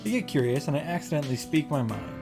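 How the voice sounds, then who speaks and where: Female game commentator, low register, sarcastic and sweary, casual delivery over audible background music. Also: animated, fast. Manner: sardonic, profane asides. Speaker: visual novel reaction host.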